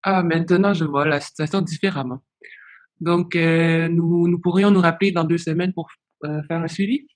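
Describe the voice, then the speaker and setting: Male case agent. Slightly high voice, polite, hesitant, questioning delivery. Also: steady tone, normal speed. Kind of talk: professional phone conversation, questioning.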